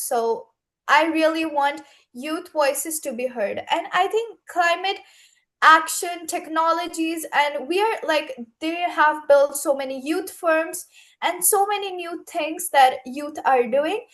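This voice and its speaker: High-pitched young woman, earnest speaker. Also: slow pace, fairly bright tone. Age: young adult. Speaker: youth speaker at an online summit. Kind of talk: impassioned panel remarks.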